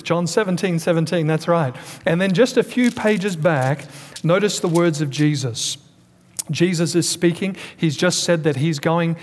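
A man preaching a Sunday sermon to a congregation, stressing key words and putting questions to the listeners. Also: a little high. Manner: preaching with emphatic pauses and calls to the congregation. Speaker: pastor. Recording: long hall reverb.

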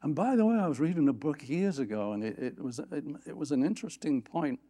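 A man preaching a sermon from a church platform, lifting his voice for scripture quotes and dropping low for quiet asides. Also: fast. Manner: animated, rising pitch on scripture quotes. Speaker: pastor.

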